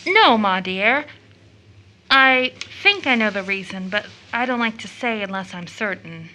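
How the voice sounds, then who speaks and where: A woman's voice: medium pitch, expressive, measured pace, bright, forceful tone, low-quality recording. An actress playing an impulsive, affectionate teenage daughter in an audio drama of an early 1900s play, with an American accent and a gushing, girlish manner. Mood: troubled. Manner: gushing, exclamatory, emotional.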